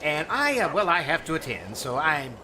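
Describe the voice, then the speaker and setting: A man playing a timid, fussy bachelor gentleman in an old-time radio comedy, stammering and awkwardly polite. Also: a little high, big pitch swings, moderate pace. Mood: flustered. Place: small theater stage, live performance. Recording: noisy live stage recording.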